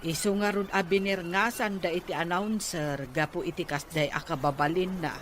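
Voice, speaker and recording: A woman's voice: lowish voice, fairly even intonation, normal speed, natural voice. A woman with a Filipino accent playing an opinionated village neighbour in a conversational audio drama. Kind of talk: conversational Ilocano radio drama acting. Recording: faint background noise.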